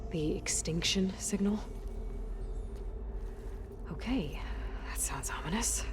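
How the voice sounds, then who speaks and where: A woman playing a determined, wary young hunter in a video game, asking earnest questions and haltingly thinking aloud. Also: mid-range voice, lively intonation, noisy background. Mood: stunned, uneasy. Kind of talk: naturalistic video game acting.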